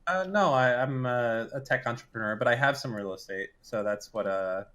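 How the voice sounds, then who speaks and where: A man's voice: mid-range voice, normal speed, bright, forceful tone. A man in his mid thirties on a stream call, talking casually in a brash, crude way. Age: 30s. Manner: brash and crude, insulting banter. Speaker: guest caller.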